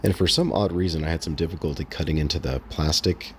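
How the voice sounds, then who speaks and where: A man casually narrating a how-to vlog in a halting, unscripted, matter-of-fact way. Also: rumbling low, slight background noise. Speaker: host of a DIY install vlog.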